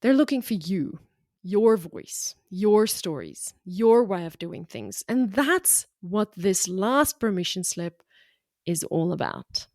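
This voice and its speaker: A woman's voice: mid-range voice, big pitch swings, slow, darkish tone, no room sound, clean studio sound. Frank woman with a South African-Australian accent. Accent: mixed South African and Australian. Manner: frank, encouraging, punchy short phrases. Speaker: podcast host and business coach. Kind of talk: motivational solo podcast.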